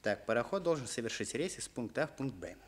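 A man's voice: medium pitch, very animated, fast, lightly acted. An actor playing an earnest, exasperated teenage boy tutor in a Soviet radio play, muttering and scolding. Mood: concentrating, muttering.

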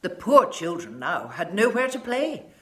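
A woman in her sixties tells a children's story at an unhurried pace. Her delivery is dramatic and measured, with pitch that rises and falls widely. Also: big pitch swings, sharp tone, rough recording. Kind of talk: expressive reading of a children's story. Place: furnished living room, indoors.

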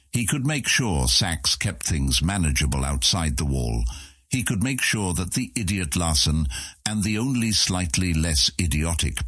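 A man narrating a fantasy audiobook in an expressive storytelling manner. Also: deep voice, breathy edge, no echo.

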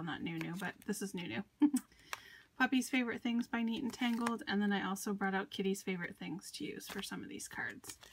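A woman's voice: medium pitch, a bit bright, a little hiss or hum. A congested woman showing her handmade cards at her craft desk, chatty with fillers and small laughs. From United States. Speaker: card-making crafter. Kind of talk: casual craft show-and-tell.

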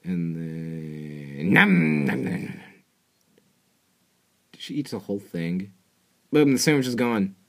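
A man's voice: low-pitched, takes it slowly, pauses often, fairly bright tone. A young man in a small bedroom, rambling and joking through a cartoon reaction video on an earbud mic, with mumbled asides and swearing. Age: young adult. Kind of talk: casual cartoon reaction commentary. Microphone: earbud headset mic.

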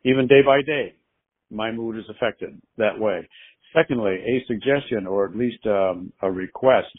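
A man leaving a fan voicemail by phone, phrasing things politely and deliberately. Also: fairly low pitch, slightly rough, phone-quality sound.

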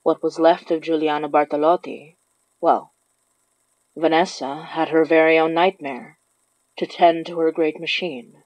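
A woman's voice: sits low, steady tone, measured pace, a little mellow, dry recording, highs cut off. A woman reading scripted narration for a horror story. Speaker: narrator of a horror fiction podcast.

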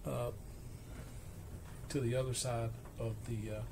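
Halting man narrating over clear background noise. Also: mid-range voice, level tone. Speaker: electronics repair vlogger. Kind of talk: informal hands-on repair narration. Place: indoor electronics workbench. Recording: clearly noisy workshop camera recording.

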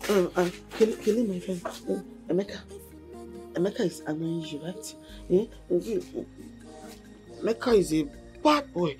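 A woman's voice: on the low side, animated, a little slow, long pauses, over music. An actress playing a mentally disturbed, childlike young woman with a Nigerian accent and a repetitive, rambling way of talking, in a film drama.

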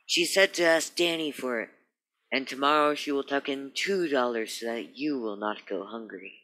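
A man's voice: medium pitch, normal speed, sharp tone, natural voice. A man playing a wordy, comically formal police sergeant in a radio play, with a florid, officious, eager manner. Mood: pompous, eager.

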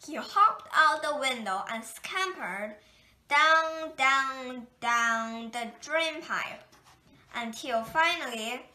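A woman reading a children's picture book aloud in a gentle, unhurried storytelling manner. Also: high voice, lively intonation, slight background noise. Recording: echoey room.

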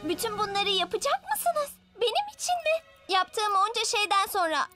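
Spirited cartoon girl, extremely high bright voice, expressive and earnest, music underneath. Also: normal speed, full character voice. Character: spirited young cartoon girl among close friends. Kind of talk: animated children's cartoon dub. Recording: music behind the voice.

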